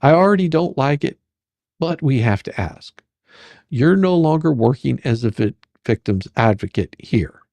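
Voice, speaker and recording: A performer reading the part of a sarcastic, persistent attorney questioning a witness in a dramatic deposition reading, with probing, halting, repetitive phrasing. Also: mid-range voice, animated, mellow, dry recording, lightly acted. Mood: matter-of-fact.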